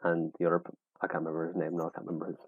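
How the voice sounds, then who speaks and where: A man's voice: low-pitched, monotone, racing, dry recording. A young Irish man from Wexford, talking in a laid-back way with short replies over a tinny video call line. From Wexford, Ireland. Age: young adult. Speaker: guest, field name collector. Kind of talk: casual conversation. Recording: tinny phone-like call audio.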